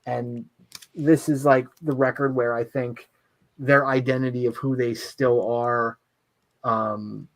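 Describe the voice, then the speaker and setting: A man's voice: mid-range voice, steady tone, takes it slowly, no echo, low-quality recording. Hesitant man, guest on a podcast. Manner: hesitant, many "like" fillers. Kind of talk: casual chat about metal albums.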